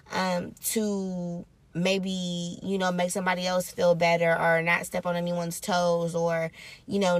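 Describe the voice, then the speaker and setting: A woman's voice: on the low side, fairly bright tone, no echo. A woman hosting her own podcast in a casual, upbeat, reflective way. She repeats phrases for emphasis. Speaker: podcast host. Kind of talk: casual solo podcast talk.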